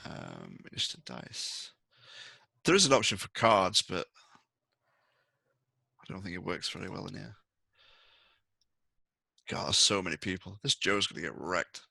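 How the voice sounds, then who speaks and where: A man acting as game master, narrating a tabletop game in a casual, animated way. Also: sits low, measured pace, creaky, pauses often, husky, dry recording.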